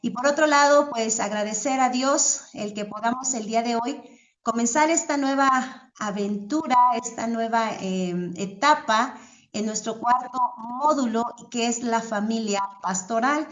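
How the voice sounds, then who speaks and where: A woman with a fairly high voice leads a church class over a video call. She is courteous and devout, addressing her students and drawing them in. The audio is somewhat dull and lo-fi. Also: measured pace, fairly bright tone. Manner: courteous, devout, encouraging participation. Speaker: teacher leading an online church class.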